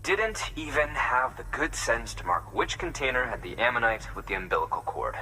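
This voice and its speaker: An actor playing an excitable, earnest fossil scientist in a video game, speaking eagerly and stammering when excited. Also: male voice, medium pitch, moderate pace, low-quality recording. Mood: urgent, persuasive. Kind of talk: video game cutscene dialogue.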